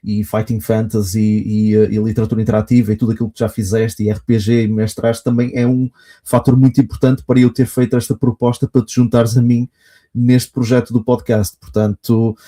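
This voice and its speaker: A man's voice: lowish voice, little pitch movement, fairly quick, dark tone. A man with a European Portuguese accent hosting a podcast, speaking eagerly and effusively, often hesitating mid-phrase. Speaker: podcast host. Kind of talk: podcast hosting, casual chat.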